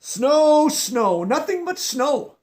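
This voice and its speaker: A man with a notably high voice reads a children's picture book aloud. He gives the story gentle expression and pauses to explain a word or two for young listeners. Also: sing-song. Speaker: storybook reader.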